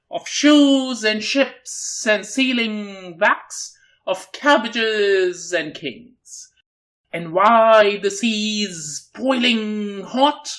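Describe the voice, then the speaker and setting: A woman voicing a pompous walrus in a dramatic poetry reading, declaiming in a ponderous, drawn-out manner. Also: medium pitch, fairly bright tone. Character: pompous, slow walrus orating grandly to oysters.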